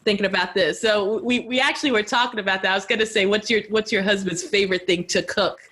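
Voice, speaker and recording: A woman interviewing a guest casually as a podcast host, informal, expressive and playful. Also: medium pitch, fast.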